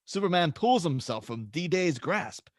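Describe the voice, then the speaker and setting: A man's voice: fairly high pitch, big pitch swings, measured pace, dry recording, studio quality. A man reading out stage directions and scene headings as narrator in a script table read.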